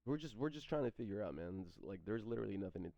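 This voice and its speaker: A man in his thirties, streaming and rambling through questions from chat, halting and repeating his words as he goes. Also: on the low side, lively intonation, creaky, mellow, dry recording. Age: 30s. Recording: dry, slightly dull stream mic audio.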